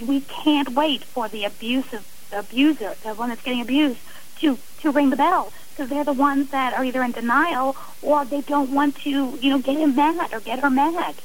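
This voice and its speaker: A woman's voice: high voice, moderate pace, rough recording. A woman speaking earnestly and emphatically as a guest in a radio interview. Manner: emphatic, impassioned.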